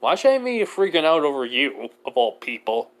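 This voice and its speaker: A man's voice: a little high, very animated, moderate pace. A man playing an exasperated, sarcastic stranded human in a fantasy story, ranting with defiance. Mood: cocky, dismissive.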